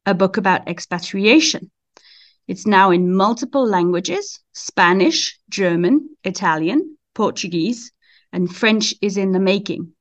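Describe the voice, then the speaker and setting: A woman from Switzerland presenting and interviewing as a podcast host, in a slightly lo-fi recording. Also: mid-range voice.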